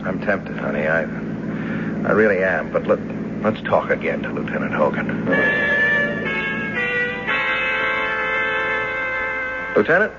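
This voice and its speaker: A stubborn earnest husband in old radio drama, low voice, dull noisy sound. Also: male voice, normal speed, creaky, loud music behind the voice. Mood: thoughtful, uneasy.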